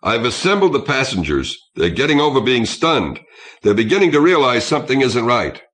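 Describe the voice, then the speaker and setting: A man reading an audiobook, voicing a stern, forceful ship's constable with a terse, commanding manner. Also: mid-range voice, sing-song, a little fast, pitched above the performer's own voice. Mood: firm, urgent. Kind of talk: audiobook character acting.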